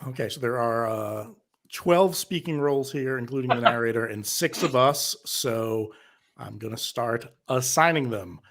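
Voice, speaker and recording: A man's voice: mid-range voice, moderate pace, fairly bright tone. A man chatting casually as a panelist, handing out instructions to the group. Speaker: panelist assigning parts. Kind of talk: casual chat.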